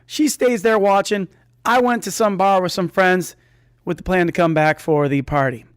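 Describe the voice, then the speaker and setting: A man's voice: high-pitched, moderate pace, clean tone, no echo, studio quality. A man telling a comic true story in a conversational, self-interrupting way, as a storyteller on stage.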